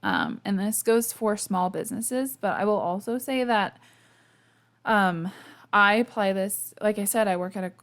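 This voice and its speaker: A young woman with a gravelly, fraying voice chats on her podcast mic, sleepy and hungover. She rambles warmly with plenty of ums and quick laughs, poking fun at herself. Her pitch sits in an ordinary middle range. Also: big pitch swings. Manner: chatty, self-deprecating, laughs often, lots of fillers. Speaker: podcast host. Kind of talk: casual solo podcast chat. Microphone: podcast microphone.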